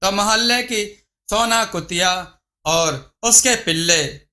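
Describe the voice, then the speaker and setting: A man's voice: high-pitched, slow, pushed and bright. A man reading a scripted folk tale as a storyteller. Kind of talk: scripted narration of a Hindi moral folk tale.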